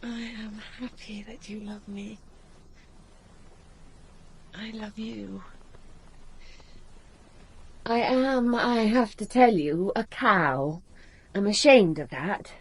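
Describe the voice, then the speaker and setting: A woman's voice: mid-range voice, slow pace, pauses often, husky. An actress playing a passionate young woman in love in a radio drama, intimate and emotional as she speaks and narrates her romance. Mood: tender, earnest. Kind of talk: radio drama acting with first-person narration.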